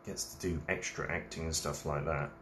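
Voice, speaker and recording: A man's voice: very deep voice, steady tone, moderate pace, a bit bright, roomy sound, slight background noise. A man, hosting a casual late-night live stream, reading out viewers' comments and chatting while he eats snacks. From England.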